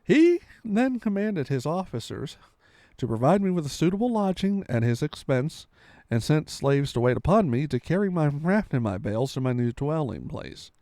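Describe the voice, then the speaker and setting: A man's voice: a little high, big pitch swings, normal speed, warm tone, no room sound, clean studio sound. A man reading a storybook aloud with dramatic, theatrical delivery, sometimes stumbling and correcting himself.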